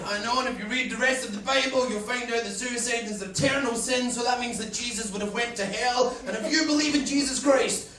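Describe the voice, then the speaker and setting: A young Scottish man doing stand-up comedy on a club stage, with an energetic delivery that shouts punchlines. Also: very high-pitched. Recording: echoey club from far off, loud crowd.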